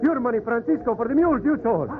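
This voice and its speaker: Quick, anxious priest, high-voiced. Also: male voice, lively intonation. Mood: alarmed. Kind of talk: 1940s radio acting. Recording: noisy, tinny 1940s radio recording.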